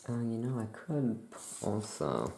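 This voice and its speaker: A performer voicing a forgetful, easygoing witch shopkeeper in a soft-spoken, halting ASMR roleplay, rambling absent-mindedly. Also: mid-range voice, very animated, gravelly, slight background noise. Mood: absent-minded, relaxed.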